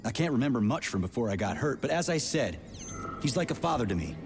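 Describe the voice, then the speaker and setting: An actor playing a gruff, foul-mouthed special agent major in a video game, blunt and sarcastic. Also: male voice, mid-range voice, sing-song, fast, over music. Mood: regretful.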